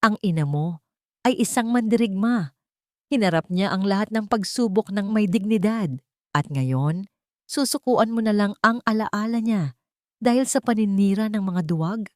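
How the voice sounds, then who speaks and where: A woman's voice: medium pitch, lively intonation, moderate pace, dry recording, studio quality, voice pushed up, close to an everyday voice. A woman voicing a stern, proud older father in an audiobook drama, speaking firmly and reproachfully. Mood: stern reproach. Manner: firm, emphatic, reproachful.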